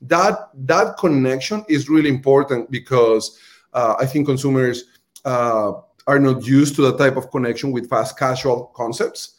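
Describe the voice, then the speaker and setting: A man's voice: mid-range voice, normal speed. A man with a Colombian accent, a restaurant marketing executive, explaining earnestly as an interview guest, with frequent fillers and repeated words.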